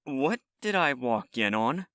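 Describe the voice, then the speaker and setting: A man's voice: mid-range voice, big pitch swings, measured pace, fairly bright tone, dry recording, clean studio sound. A man playing an exasperated eldest elf brother in a fantasy audio drama, speaking formally and articulately.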